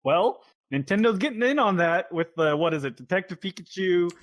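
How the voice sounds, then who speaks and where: A man co-hosting a podcast in casual conversation, with halting, hedging phrasing. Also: sits high, expressive, crowd noise. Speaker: podcast co-host. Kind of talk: casual chat.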